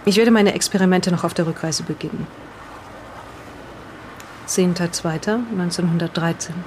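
A woman's voice: fairly low pitch, steady tone, a little hiss or hum, natural voice. A woman playing a hesitant, bewildered reader of an old diary, reading aloud and commenting in an audio drama. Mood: absorbed reading. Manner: halting, breaks off to comment on the reading.

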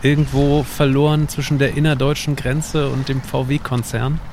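A man's voice: medium pitch, little pitch movement, moderate pace, darkish tone, slight background noise, lightly acted. A man playing a curious, chatty time traveller in an educational audio drama, explaining things in a conversational way. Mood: teasing. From Baltic coast, northern Germany.

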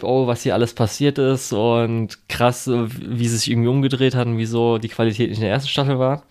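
Rambling casual German podcast man. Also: medium pitch. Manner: rambling, full of fillers and restarts. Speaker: podcast co-host. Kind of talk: casual chat.

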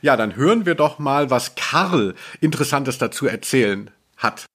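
A man's voice: medium pitch, lively intonation, normal speed, fairly bright tone, slight background noise. A man co-hosting a podcast in casual conversation, talking in an ironic, rambling way. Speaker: podcast co-host. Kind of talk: casual chat, review of audio dramas.

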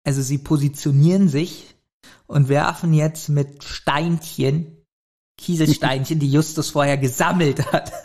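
A man's voice: on the high side, darkish tone. A man co-hosting a podcast, retelling and critiquing a story in an informal conversational way. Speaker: podcast co-host. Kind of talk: casual review chat, retelling a plot.

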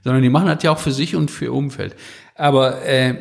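Gravelly man in casual conversation. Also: mid-range voice, fairly even intonation, quick pace. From Westphalia, Germany. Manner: blunt, colloquial. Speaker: podcast guest, book publisher.

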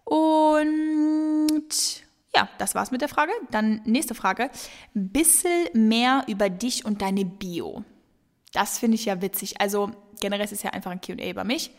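A woman's voice: fairly high pitch, measured pace. A woman in her twenties hosting a casual solo podcast, answering listener questions in a chatty, rambling way with many fillers. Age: 20s. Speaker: podcast host. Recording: long reverb.

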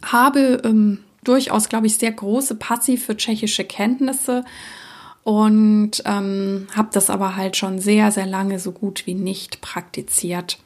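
A woman hosting her own podcast, talking casually and reflectively to listeners, with many soft fillers, who grew up bilingual in a Czech-speaking family. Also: mid-range voice, fairly even intonation, takes it slowly. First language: Czech-speaking parents, grew up bilingual. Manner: reflective, addresses listener directly, frequent fillers. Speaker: podcast host, soprano singer. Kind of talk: casual solo podcast monologue.

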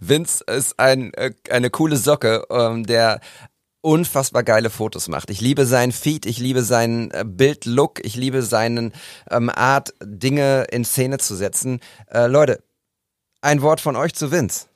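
Podcast host guy, halting, lots of ums, dry mic, mid pitch. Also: no room sound. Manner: hesitant, many fillers. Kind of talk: casual podcast chat.

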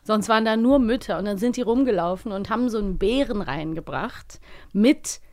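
A woman's voice: medium pitch, lively intonation, fairly quick, a little mellow. A woman hosting a podcast, chatting casually and playfully about children's audio dramas. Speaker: podcast host.

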